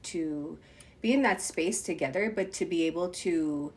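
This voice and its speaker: Stuffy-nosed woman with a low voice. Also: unhurried. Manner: reflective, thankful. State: stuffed up from a cold. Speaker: podcast co-host. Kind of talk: casual conversation.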